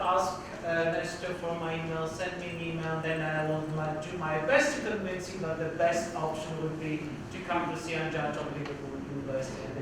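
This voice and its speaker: Male lecturer, high pitch, hesitant and loud, far-off mic, noisy reverberant hall. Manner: hesitant, many uhs and restarts; projects loudly for the room. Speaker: visiting university professor. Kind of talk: lecture to students. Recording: very echoey hall, distant, noisy.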